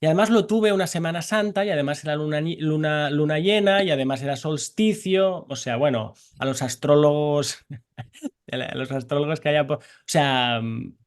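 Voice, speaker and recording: A man in his forties from Barcelona talks in an exuberant, joking way, racing through tangents. His voice sits a little high. He is a scientist being interviewed. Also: clean tone. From Barcelona, Spain. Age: 40s. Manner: exuberant, jokey, rapid tangents. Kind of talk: animated conversational interview.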